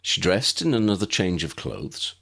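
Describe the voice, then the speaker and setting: A man's voice: sits low, big pitch swings, moderate pace, creaky, a bit bright. A man narrating an audiobook story in a scripted storytelling style.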